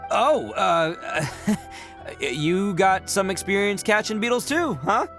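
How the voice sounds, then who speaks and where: A man voicing a boisterous, boastful male oni gang leader in a video game, loud and hammy. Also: high voice, lively intonation, takes it slowly, gravelly, faint music behind, exaggerated voice. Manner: loud, hammy, bluffing bravado.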